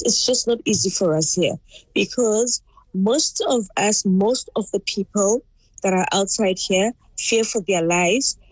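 A woman's voice: medium pitch, breathy edge, no room sound, rough recording. A woman who grew up in South Africa, speaking earnestly and repetitively in a remote news interview. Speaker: interview guest, salon worker. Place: remote link.

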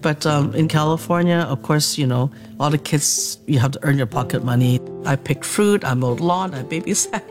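A woman's voice: deep voice, normal speed, few pauses, music in the background. A woman in her seventies from Hong Kong, a doctor reflecting as an interview guest, who laughs often as she recounts memories. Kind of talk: reflective conversational storytelling.

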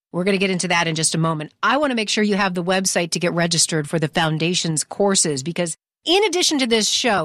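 A woman's voice: mid-range voice, fairly bright tone, dry recording. A woman with an American accent hosting a radio show and reading out promotional details in a studio.

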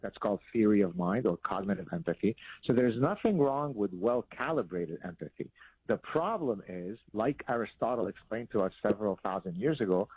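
A man's voice: lowish voice, lively intonation, normal speed, husky, no echo. A man in his fifties from Lebanon, a guest author answering interview questions in an articulate, explanatory way. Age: 50s. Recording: tinny phone-like sound, dry.

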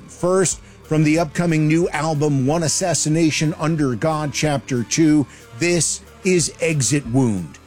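Middle-aged man, fairly high voice, showy, wry, scripted rock radio intro, prominent guitar music bed. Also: a little mellow. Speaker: syndicated rock radio host.

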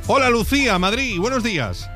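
A man with an accent from Spain hosting a morning radio show with lively, encouraging, exclamatory banter. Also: very high-pitched, brisk. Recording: loud music bed under the voice. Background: music.

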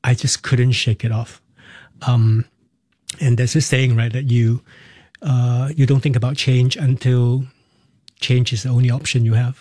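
A mellow, warm-toned man in his fifties with a Singaporean accent speaks as an interview guest. He tells his story candidly and reflectively, in short phrases that often restart. The sound is close and dry, with no room around him. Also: mid-range voice, level tone, unhurried, long pauses, no echo. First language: Cantonese. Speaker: interview guest, musical theatre performer.

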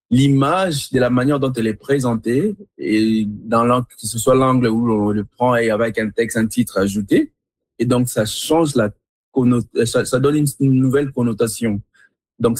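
A man's voice: mid-range voice, a little mellow, slightly rough, dry recording. A man from Congo speaking as an artist in an interview, reflective, searching for his words and repeating himself. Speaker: visual artist being interviewed. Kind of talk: reflective interview answers about own work.

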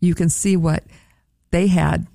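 A woman who works at a museum, talking in a relaxed radio interview and promoting events and admission rates in an upbeat way. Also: on the low side, a little slow, gravelly, no room sound, clean studio sound. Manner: upbeat, promotional, careful over a foreign word. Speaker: museum staff guest.

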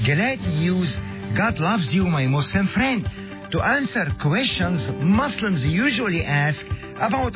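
A man's voice: high voice, lo-fi sound. A man from Egypt giving an earnest radio gospel message, speaking straight to the listener. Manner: earnest, direct address to the listener. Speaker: evangelist and ministry host.

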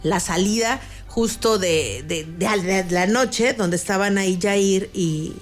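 A woman's voice: medium pitch, slow. A woman reporting celebrity gossip on a radio show, conversational and hesitant, with frequent restarts. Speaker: entertainment reporter. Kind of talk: casual radio chat.

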